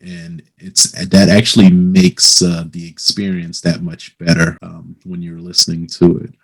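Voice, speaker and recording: A man hosting a casual interview, speaking in a hesitant, halting conversational way. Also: low voice, creaky, breathy edge, poor audio. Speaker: interviewer, host.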